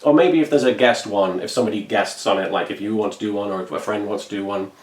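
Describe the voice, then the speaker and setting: Low-voiced man in an echoey room. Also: fast. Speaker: web video creator. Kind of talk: casual update to supporters.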